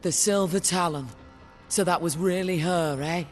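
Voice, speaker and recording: Man playing a terse, higher-voiced bounty hunter. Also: fairly even intonation, normal speed, roomy sound, faint music behind, close to an everyday voice. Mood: matter-of-fact. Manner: terse, blunt. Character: terse, hard-living male bounty hunter, blunt and determined. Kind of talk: video game voice acting, noir.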